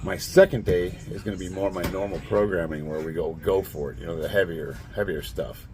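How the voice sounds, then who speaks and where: A man in his late forties with a raspy, nasal, stuffed-up voice, rambling bluntly and laughing often as he talks to camera. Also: low-pitched, steady tone, fairly quick, music in the background. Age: 40s. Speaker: fitness vlogger. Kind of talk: casual monologue to camera.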